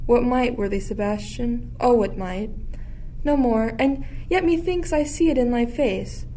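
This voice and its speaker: Woman playing a mellow, high-voiced scheming duchess. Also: unhurried, close to an everyday voice, poor audio. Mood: scheming, insinuating. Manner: insinuating, theatrical. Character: sly, ambitious usurping duchess tempting an accomplice. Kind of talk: Shakespearean radio play acting. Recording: faint background noise.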